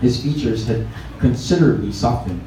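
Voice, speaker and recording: A man's voice: mid-range voice, moderate pace, mellow. Filipino guy narrating fiction live, super echoey, mic kinda far off. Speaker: reader at a literary event. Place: echoey room at a live event. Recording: echoey, distant mic, clearly noisy live event.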